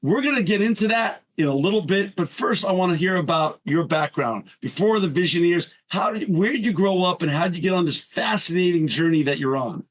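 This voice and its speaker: A man hosting a podcast interview, upbeat and quick, sometimes stumbling over his words. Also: high voice, expressive. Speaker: podcast host. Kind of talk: podcast interview and read sponsor spots.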